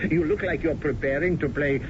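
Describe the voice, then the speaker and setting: An actor playing a fussing, theatrical stage director in a 1970s radio drama, doting and given to chuckles. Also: male voice, on the high side, moderate pace, lightly acted. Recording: muffled old radio broadcast with hiss.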